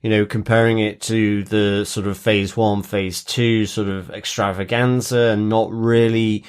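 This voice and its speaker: A man's voice: on the low side, flat delivery, measured pace, smooth. A man co-hosting a podcast, giving his opinions in casual chat with many conversational asides. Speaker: podcast co-host.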